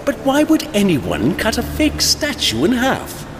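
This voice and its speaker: An actor playing a dry, formal, loyal butler in a superhero audio drama. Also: male voice, very high-pitched, huge pitch swings, unhurried, over music, heavily acted. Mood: wry. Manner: formal, wry, deferential. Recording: long reverb, clearly noisy background.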